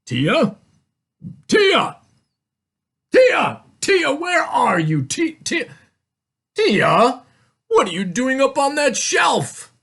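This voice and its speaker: A woman storyteller voicing an exasperated father who scolds in loud, emphatic, drawn-out words. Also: low-pitched, steady tone, breathy edge. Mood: searching, exasperated.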